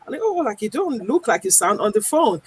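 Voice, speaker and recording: A woman with a Nigerian accent, speaking earnestly as a guest on a panel discussion, pausing often with ums. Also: a little high, big pitch swings, breathy edge. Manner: earnest, deliberate, frequent pauses with um.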